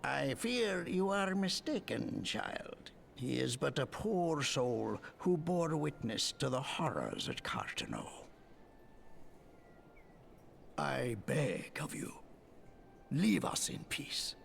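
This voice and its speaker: An actor playing a gentle, sorrowful old priest in a video game cutscene, solemn and tender, with halting phrases. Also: male voice, mid-range voice, very animated, vocal fry, slight background noise, full character voice. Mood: pleading. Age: older.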